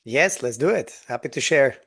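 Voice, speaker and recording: A man's voice: medium pitch, expressive, moderate pace, vocal fry, a bit bright, slightly rough. A man, a guest on a business podcast, telling his story in an earnest, eager way over a video call. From Turkey. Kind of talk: interview storytelling.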